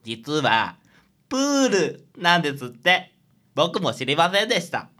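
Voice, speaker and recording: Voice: mid-range voice, very animated, measured pace, a bit bright. An actor playing a meek, hapless, polite male junior detective sidekick in a radio drama. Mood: eager.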